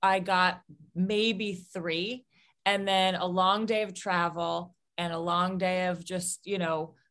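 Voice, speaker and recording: A woman's voice: on the low side, fairly even intonation, takes it slowly, fairly bright tone. A woman who is a podcast guest, overtired and wry, talking casually. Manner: wry, self-deprecating. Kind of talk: casual chat.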